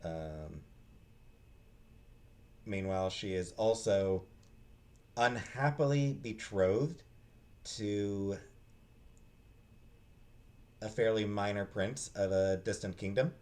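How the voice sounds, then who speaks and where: Halting man with a lowish voice. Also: lively intonation, a little mellow. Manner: halting, hesitant, searching for words. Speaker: book reviewer, host. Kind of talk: casual unscripted book talk.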